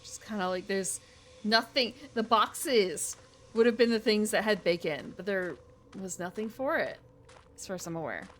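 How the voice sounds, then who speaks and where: A woman's voice: mid-range voice, moderate pace, slight background noise. An American woman giving casual, rambling live game commentary as a streamer, chatting informally with viewers. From United States.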